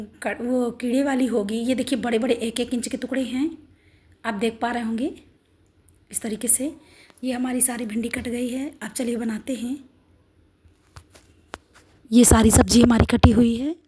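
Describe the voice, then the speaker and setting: A middle-aged woman with a hoarse, sore throat, walking through a home recipe step by step in a homely, instructive way. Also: a little high, a little mellow. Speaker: cooking channel host. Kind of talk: home cooking demonstration.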